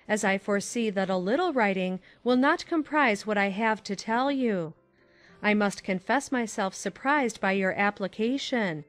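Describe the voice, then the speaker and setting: A woman playing a sensible, kindly aunt who reads out her letter in a dramatized audiobook, measured, formal and candid. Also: fairly high pitch.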